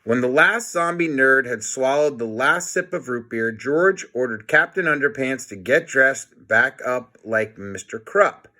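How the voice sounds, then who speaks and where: A man's voice: medium pitch, animated, measured pace, few pauses, bright, forceful tone. A man reading a children's book aloud in a playful, dramatic manner, adding his own comments. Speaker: storybook reader.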